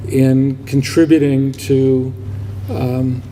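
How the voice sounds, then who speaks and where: A man in his sixties with a very soft, dark tone speaks to a gathering in an echoing hall. He is reflective and halting, telling things informally, with some background noise. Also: mid-range voice, little pitch movement. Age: 60s. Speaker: donor speaking at a ceremony. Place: large room, public event.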